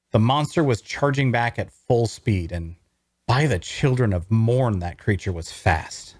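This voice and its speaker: A man giving a theatrical, expressive first-person narration of a fantasy story at a table read. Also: medium pitch, no room sound, studio quality. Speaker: narrator, voice actor.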